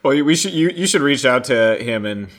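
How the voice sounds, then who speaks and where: A man's voice: medium pitch, lively intonation, brisk, fairly bright tone. A man co-hosting a podcast in casual chat, with an informal, wry and joking manner. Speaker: podcast co-host.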